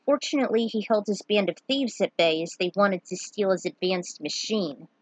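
A woman's voice: mid-range voice, animated, normal speed, dry recording, low-quality recording. A woman giving casual recaps and amateur narration as the host of a read-aloud fan fiction series.

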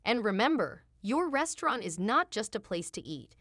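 A woman's voice: medium pitch, sing-song, normal speed, background noise. A woman giving a scripted promotional voice-over with a polished, upbeat delivery. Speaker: narrator.

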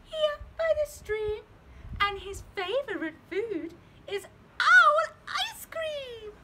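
A woman voicing a small, clever, cheeky mouse in a storybook reading, high and perky. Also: very animated, takes it slowly, exaggerated voice. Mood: perky, boastful. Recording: loud background noise.

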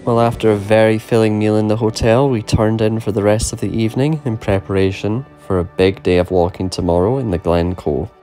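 A man's voice: on the low side, steady tone, brisk, few pauses, mellow, faint music behind. A man in his thirties casually narrating a travel vlog, enthusiastic and marveling at the scenery, filmed on a handheld camera. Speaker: vlogger. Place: outdoors on hills and in a cafe. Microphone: handheld camera at arm's length.

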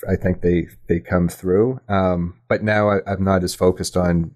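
A man with a low, deep voice talking as a guest in a relaxed interview. He is reflective and a little self-critical. His phrases come hesitantly as he thinks things through. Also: a little slow. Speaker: podcast guest, audio drama writer. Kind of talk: casual reflective interview talk.

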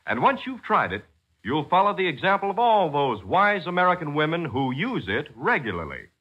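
A man working as a 1940s radio announcer, reading a script with emphatic, salesmanlike delivery and a husky voice. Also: on the high side, huge pitch swings, normal speed. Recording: dull, lo-fi 1940s radio recording.

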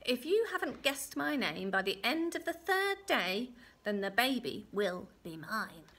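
A woman voicing a strange, wrinkled little magical man in a storybook tale, croaky, emphatic and demanding. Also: on the high side, sing-song, measured pace, fairly bright tone, full character voice. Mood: menacing, demanding.

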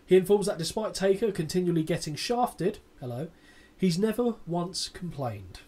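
Dry sarcastic podcast host. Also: male voice, sits high, expressive, moderate pace, dark tone, slightly rough. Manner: dry, sarcastic, swears casually. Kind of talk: casual recap chat, reading a match summary.

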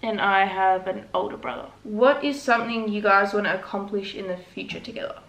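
A woman of twenty-one, chatting casually in a relaxed, rambling way while co-hosting a Q&A vlog from her living room couch. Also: medium pitch, a little fast. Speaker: vlogger co-host. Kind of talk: casual Q&A chat. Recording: echoey room.

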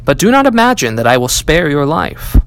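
Man reading as a stern king, animated pitch. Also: brisk, slight background noise. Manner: authoritative, advising. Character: stern, scheming old-tale king, father of princess.

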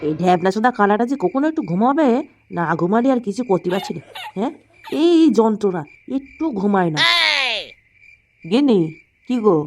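A woman's voice: medium pitch, expressive, fairly quick, warm tone, faint music behind, lightly acted. A woman voicing a grumpy, scolding old village grandfather in a comedy cartoon, with faint noise. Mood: exasperated. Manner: scolding, grumbling. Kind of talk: comic cartoon dialogue.

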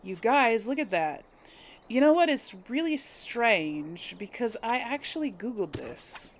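A woman's voice: medium pitch, a little hiss or hum. A woman giving casual, chatty gameplay commentary to her viewers, thinking aloud as she plays. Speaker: let's player hosting a gameplay series.

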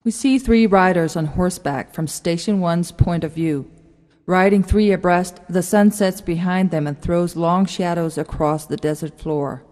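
A woman's voice: fairly low pitch, a little slow, darkish tone. A woman narrating a script, reading out stage directions as the narrator. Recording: long hall-like reverb.

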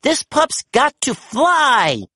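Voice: high voice, sing-song, slow pace, bright, forceful tone, no echo, voice pushed up, heavily acted. A storybook narrator voicing a plucky, eager young female rescue puppy with enthusiastic exclamations.

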